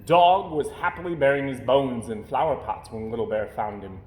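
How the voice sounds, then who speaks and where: A man reading a children's picture book aloud as an expressive storyteller. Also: mid-range voice, normal speed. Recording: roomy, echoey indoor sound.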